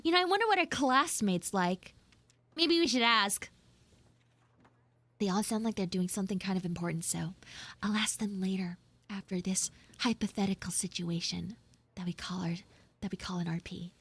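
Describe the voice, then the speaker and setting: A woman voicing a flustered, grudging tsundere frog girl in a live audio roleplay, speaking casually with halting stammers. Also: mid-range voice, a bit bright, breathy edge. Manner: halting, stammering, drawn-out delivery.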